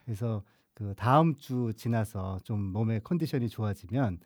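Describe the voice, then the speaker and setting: Hoarse priest chatting slowly on a podcast. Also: male voice, lowish voice, expressive, darkish tone, dry recording, studio quality. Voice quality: slow, hesitant delivery with many fillers. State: hoarse, voice strained after lecturing. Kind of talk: casual podcast chat about films.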